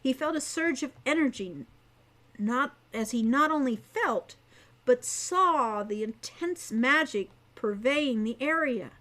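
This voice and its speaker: A woman's voice: high-pitched, expressive, a little slow, fairly bright tone. A woman reading a scripted fantasy story as an audiobook narrator. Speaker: author reading own audiobook.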